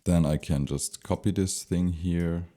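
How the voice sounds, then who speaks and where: A man with a very deep, mellow voice presents a software tutorial at a slow pace. He explains step by step without a script, hesitating now and then as he thinks out loud. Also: fairly even intonation.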